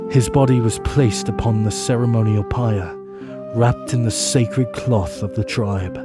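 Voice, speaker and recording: A man narrating an audio drama in a solemn, measured storytelling manner. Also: mid-range voice, steady tone. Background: music underneath.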